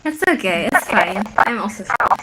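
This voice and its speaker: A woman in her twenties, from the Netherlands, answers questions in a relaxed, chatty way, filling pauses with ums and likes. Her voice sits at an ordinary middle pitch. A loud steady noise runs under the recording. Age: 20s. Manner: relaxed, chatty, laughs often, many fillers. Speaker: interviewee, university student. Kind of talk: casual interview over a call.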